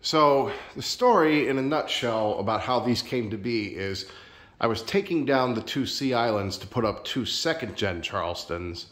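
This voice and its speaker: A man filming a casual home vlog in his sunroom, a little nasal and stuffed up from a sinus infection, talking in a relaxed, rambling, friendly way. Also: medium pitch, normal speed. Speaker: ceiling fan collector vlogger. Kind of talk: casual show-and-tell vlog.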